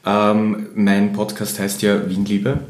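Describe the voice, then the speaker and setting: A man with a Viennese accent hosting a podcast and asking his guests relaxed questions. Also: fairly low pitch, slow pace, roomy sound. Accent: Viennese German. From Vienna, Austria. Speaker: podcast host. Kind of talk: interviewing guests.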